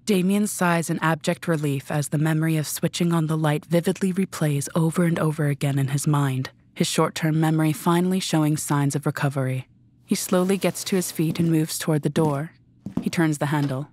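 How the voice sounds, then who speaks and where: A woman's voice: sits low, fairly even intonation, brisk, a little mellow, slight background noise. A woman reading scripted narration for an audio drama.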